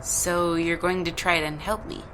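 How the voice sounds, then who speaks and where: An actress playing a stranded traveller in an audio drama, hesitant, sceptical and a little impatient. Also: female voice, fairly low pitch, little pitch movement, unhurried, fairly bright tone, faint music behind, low-quality recording. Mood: doubtful, reluctant. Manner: hesitant, doubtful.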